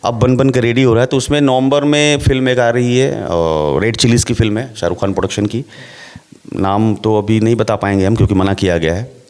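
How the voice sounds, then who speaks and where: Middle-aged actor explaining at length. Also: male voice, mid-range voice, steady tone, fairly quick, darkish tone. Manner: explains at length with rhetorical questions. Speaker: actor, interview guest. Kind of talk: relaxed interview talk.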